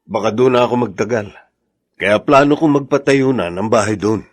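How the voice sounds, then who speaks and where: An actor with a Filipino accent playing a respectful, hopeful young soldier and devoted husband in a radio drama. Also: male voice, lowish voice, normal speed, lightly acted. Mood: excited, hopeful. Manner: respectful, earnest.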